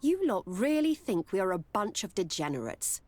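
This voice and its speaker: A woman's voice: mid-range voice, very animated, normal speed, a little mellow, no room sound. A woman playing a wary, world-wise courtesan in a video game. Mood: guarded.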